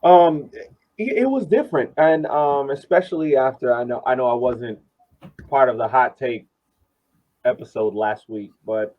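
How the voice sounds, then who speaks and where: A man co-hosting a soccer podcast, discussing the game casually in a halting way and repeating words. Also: mid-range voice. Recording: muffled, lo-fi podcast audio.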